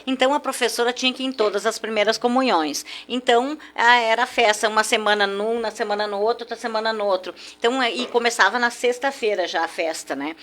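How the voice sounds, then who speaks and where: A woman's voice: fairly high pitch, quick pace, hardly any pauses, slight background noise. Older woman reminiscing. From southern Brazil, German-speaking community. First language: German. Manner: warm reminiscing, frequent "né?" tags. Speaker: guest. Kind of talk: nostalgic radio interview storytelling.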